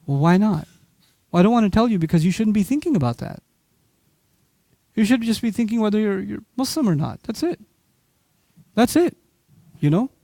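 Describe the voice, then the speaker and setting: Man, lecturer, high voice, dry close sound, animated, rhetorical questions. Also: moderate pace, long pauses, dry recording. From Pakistan. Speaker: Islamic speaker. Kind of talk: lecture to a live audience.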